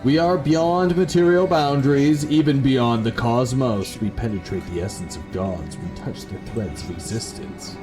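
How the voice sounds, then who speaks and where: A man voicing a solemn otherworldly entity from a gothic horror visual novel, hushed and portentous. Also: mid-range voice, moderate pace, hardly any pauses, close to an everyday voice. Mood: ominous, solemn. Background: atmospheric game music.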